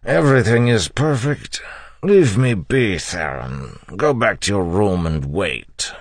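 A man's voice: lowish voice, animated, slow, no room sound, voice pushed up, full character voice. A man voicing a fat, arrogant, cruel male crew leader who talks in a dismissive, sneering way. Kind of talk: audiobook character voice.

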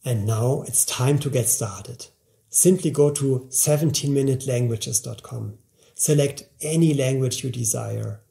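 Man in his forties, earnest promotional talk, mellow dark voice, studio to camera. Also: unhurried, vocal fry. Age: 40s. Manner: earnest, upbeat sales pitch. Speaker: language course presenter. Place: indoor studio, plain grey backdrop, seated at a table.

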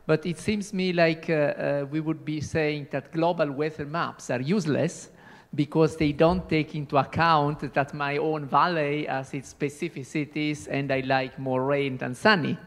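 A man's voice: fairly high pitch, steady tone, unhurried, fairly bright tone. Man with a mic in an echoey hall. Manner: hesitant, repeats words, non-native phrasing. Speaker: audience member asking a question. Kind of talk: conference Q&A comment. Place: large lecture hall. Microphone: handheld microphone.

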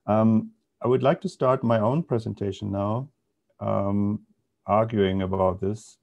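A middle-aged German-accented man with a low, creaky voice presents his work on a video call. He speaks informally and haltingly, working out his thoughts as he goes. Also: fairly even intonation, a little mellow, highs cut off. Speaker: presenter, media artist and professor. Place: indoor room on a video call.

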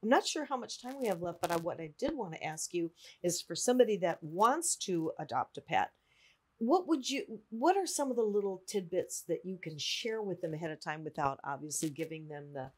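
A woman's voice: lowish voice, animated, a little fast, slightly rough. Chatty older woman hosting an interview. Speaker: interview host. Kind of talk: conversational interviewing. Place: small indoor studio-office, seated.

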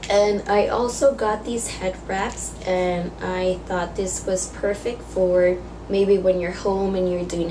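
Young female beauty vlogger, hoarse from illness, casual and brisk, mid pitch, noisy home recording. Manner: chatty, quick product run-through. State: raspy voice from being sick. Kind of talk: casual haul vlog. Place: small indoor room against a plain wall.

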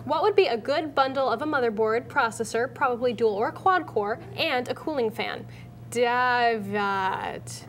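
A woman's voice: a little high, unhurried, a little hiss or hum. A woman in her thirties with an American accent, casually presenting and reading aloud in an upbeat, playful manner as a show co-host. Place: TV studio at a desk.

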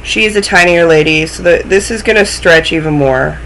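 A woman's voice: on the low side, normal speed, a bit bright, a little hiss or hum, low-quality recording. A young woman hosting a knitting podcast from home, chatting casually to a webcam with a stuffy, snuffly voice and self-deprecating asides. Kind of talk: casual chat to camera. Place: small room at home, indoors.

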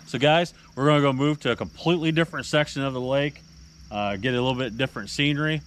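A man's voice: mid-range voice, fast. A middle-aged American man giving casual, folksy, rambling commentary to viewers as he fishes. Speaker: fishing vlogger and tournament angler. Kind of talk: casual running commentary to camera. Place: outdoors on a small boat on a lake. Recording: outdoor action-camera sound with heavy ambient noise.

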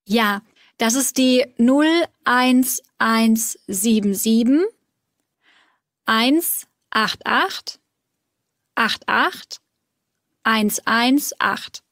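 Slow, careful woman caller. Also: fairly high pitch, fairly bright tone, dry recording, studio quality. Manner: slow, careful spelling and numbers. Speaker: caller registering for a course. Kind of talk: scripted dialogue for German learners.

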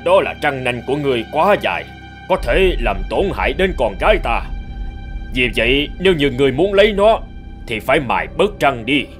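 Man acting a shrewd, expressive old woodcutter. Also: medium pitch, faint music behind, natural voice. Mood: cautious, cunning. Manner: cautious, wily, measured bargaining. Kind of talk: cartoon fable acting.

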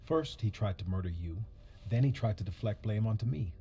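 Reserved, evasive male crewman, low dark voice, measured and dryly courteous game dialogue. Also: expressive, slight background noise. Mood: guarded, defensive. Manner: measured, formal, understated. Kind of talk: video game voice acting.

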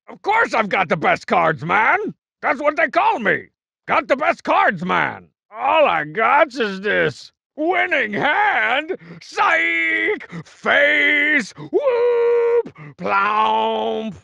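A man voicing a cocky, juvenile, short-tempered masked cartoon villain for a video game, gravelly and raspy, with boastful, mocking, slangy delivery. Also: very high-pitched, sing-song, extremely slow, bright, forceful tone, no echo, exaggerated voice. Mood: gloating, then triumphant. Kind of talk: video game character barks.

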